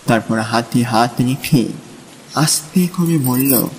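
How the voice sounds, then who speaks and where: A man narrating a literary story in a measured, dramatic style. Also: medium pitch, slight background noise. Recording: long reverb, music and rain behind.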